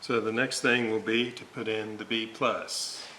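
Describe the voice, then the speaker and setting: A man giving an informal repair walkthrough at a workbench, halting and thinking aloud as he works. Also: fairly low pitch, steady tone. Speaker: host of a radio restoration video series. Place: indoor workbench, hands working on components. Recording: noticeable background noise.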